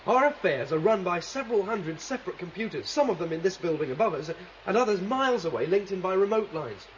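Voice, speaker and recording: An actor playing a confident, idealistic rebel leader, explaining emphatically in a 1970s sci-fi radio drama. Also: male voice, sits high, animated, fast. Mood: confident, scheming. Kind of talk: 1970s science fiction radio drama acting. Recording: dull vintage radio recording, faint noise, water.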